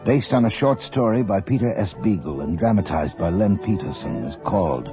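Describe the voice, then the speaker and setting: A man announcing a radio drama in an ominous, theatrical and measured scripted style. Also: low-pitched, expressive. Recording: muffled 1980 radio broadcast with music.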